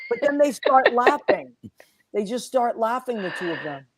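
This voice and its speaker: A woman's voice: a little high, very animated, moderate pace, breathy edge, dry recording. Chatty woman host, dry sound. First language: Italian. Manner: chatty, warm shout-outs to viewers, lots of fillers. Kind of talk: casual chat.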